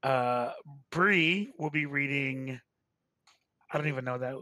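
A man hosting a staged reading, talking casually and haltingly. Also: mid-range voice, sharp tone, no room sound. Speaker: host of a staged game reading. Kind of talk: casual commentary and introductions.

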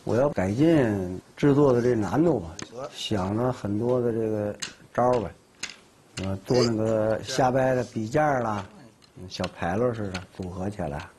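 A man's voice: on the low side, normal speed, a little hiss or hum. Plain-spoken man in his sixties. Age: 60s. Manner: plain-spoken, explains his craft with lively emphasis. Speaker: woodworker. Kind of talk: documentary interview. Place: cluttered indoor workshop. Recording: documentary music underneath.

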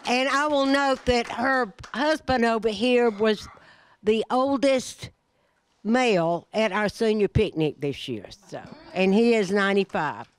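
A woman's voice: fairly high pitch, measured pace. Chatty older woman hosting. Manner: chatty, teasing, fond. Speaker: event host. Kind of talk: casual hosting with a handheld mic. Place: community center party room, indoors. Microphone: handheld mic.

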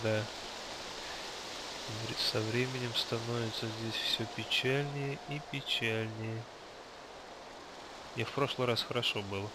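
A man with an average-pitched voice talks casually with others during a game. His microphone picks up clear background noise. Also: steady tone, unhurried, long pauses. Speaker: gamer in a group session. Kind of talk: casual gaming chat. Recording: clearly noisy voice-chat microphone.

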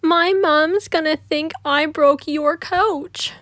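Little child played by a woman, storybook character, cheeky, taunting, whiny, high voice, dry recording. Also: steady tone, slow pace, a bit bright, no room sound. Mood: upset. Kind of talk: children's storybook read-aloud.

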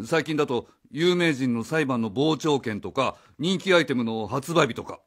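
A man's voice: medium pitch, expressive, moderate pace, lightly acted. A man playing an easygoing, evasive drifter in a radio drama, talking casually and hesitantly, with slangy phrasing. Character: easygoing, evasive drifter posing as a boy's father. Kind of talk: Japanese radio drama acting.

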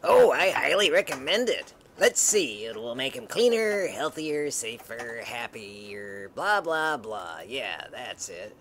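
A man voicing a menacing elderly mad doctor from a comic book, theatrical and wheedling, with a gravelly voice. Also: medium pitch, big pitch swings, slow, few pauses, fairly bright tone, a little hiss or hum, pitched below the performer's own voice, exaggerated voice. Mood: sinister coaxing.

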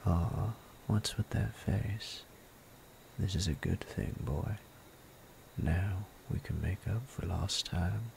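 A man voicing a sadistic male bandit captor in an ASMR roleplay, low and gravelly, often whispering in a menacing, playful way. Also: a little mellow, slight background noise, heavily acted. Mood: gleefully sadistic. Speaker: voice actor.

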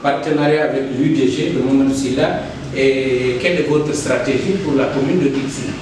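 A man's voice: medium pitch, fairly even intonation, moderate pace. A man interviewing, reading out viewers' questions with some hesitation. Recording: echoey room, distant mic, faint noise.

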